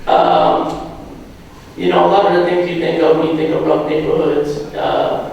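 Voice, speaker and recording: A man in his thirties from Providence, slightly hoarse, giving a joking, self-deprecating public lecture full of fillers. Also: fairly high pitch, huge pitch swings, moderate pace, darkish tone, lo-fi sound, a little hiss or hum. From Providence, Rhode Island. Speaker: guest speaker. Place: large hall or auditorium. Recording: echoey hall, mic from far off.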